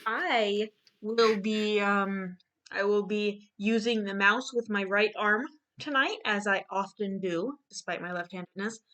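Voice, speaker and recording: Woman, bright crisp tone, mid pitch, casual livestream host. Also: fairly even intonation, measured pace. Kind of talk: casual chat.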